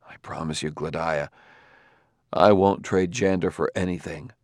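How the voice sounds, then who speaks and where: A man's voice: on the low side, moderate pace, dry recording, studio quality, close to an everyday voice. A man voicing a weary, dogged male investigator in an audiobook novel, blunt and resigned yet earnest and tender. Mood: gentle, reassuring.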